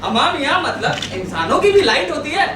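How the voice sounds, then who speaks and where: A man's voice: extremely high pitch, sing-song, moderate pace. An actor playing a gossipy man telling a comic tale on stage, colloquially acting out each character's lines. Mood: amused, animated. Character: animated male gossip telling comic street-quarrel tale. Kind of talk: comic stage dialogue, storytelling. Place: theatre stage in an auditorium. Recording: very echoey hall, distant noisy mic.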